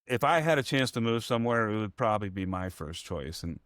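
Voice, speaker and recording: A man's voice: fairly low pitch, animated, a little fast, a bit bright, no echo, studio quality. A man hosting an interview, speaking in a reflective, rambling, motivational way. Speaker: podcast host. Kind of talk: conversational interview.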